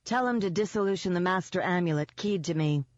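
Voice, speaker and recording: A woman's voice: on the low side, fairly quick, dry recording, lo-fi sound, natural voice. A woman voicing a determined, anxious young heroine in an audiobook, speaking with insistent urgency.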